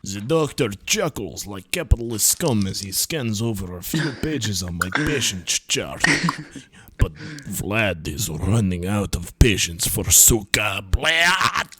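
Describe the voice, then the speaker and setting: A young man doing a mock Russian accent as a brash Soviet-loving Russian, reading a visual novel aloud in a hammy, deadpan way. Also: on the low side, slow, slight background noise, pitched below the performer's own voice, exaggerated voice. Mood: scornful. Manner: theatrical, hammy, deadpan grumbling. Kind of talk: comic read-through with friends.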